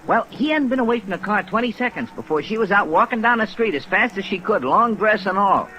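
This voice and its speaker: A man's voice: high voice, expressive, fairly quick, sharp tone, highs cut off. A man playing a breezy, folksy American witness in a 1950s radio drama, talking in slang. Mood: chatty. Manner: folksy, colloquial. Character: breezy, slangy male witness with some pity. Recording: old radio recording with faint rainy hiss.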